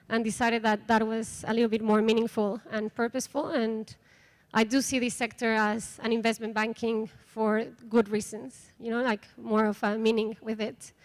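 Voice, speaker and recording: A woman from Mexico with a Mexican Spanish accent, opening and presenting a conference workshop in a casual, hesitant way with many fillers. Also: fairly high pitch. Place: conference room.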